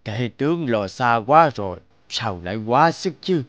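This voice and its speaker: An actor voicing an elderly, ailing king, regal and doting, in an animated fairy tale. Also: medium pitch, a little slow, no room sound, muffled recording. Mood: reassuring.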